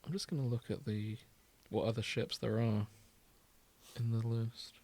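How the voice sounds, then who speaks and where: A man's voice: medium pitch, steady tone, measured pace, darkish tone. A man hosting a podcast in casual banter, chatty, teasing and wry, sometimes reading fan fiction aloud. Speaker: podcast host.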